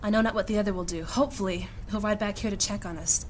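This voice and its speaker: A woman's voice: medium pitch, fairly even intonation, quick pace, a little hiss or hum, close to an everyday voice. Woman playing a proud, commanding female mage. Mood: urgent, composed. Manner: formal archaic diction. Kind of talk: high fantasy audio drama acting.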